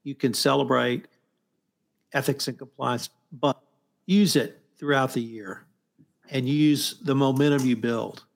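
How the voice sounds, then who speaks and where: Gravelly male podcast host. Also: mid-range voice, measured pace. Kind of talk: interview talk, summing up.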